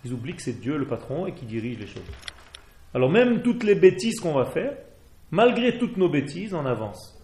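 A man's voice: fairly high pitch, big pitch swings, normal speed, a little mellow, roomy sound. A man from Israel with an Israeli accent and Hebrew as his first language, fervently exhorting a religious class. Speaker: rabbi. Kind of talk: Torah class.

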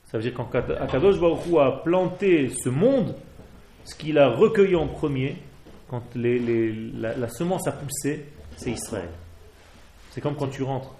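A man's voice: medium pitch, a little slow, warm tone, reverberant, slight background noise. A man from Israel with an Israeli accent and Hebrew as his first language, fervently exhorting a religious class. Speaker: rabbi. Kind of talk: Torah class.

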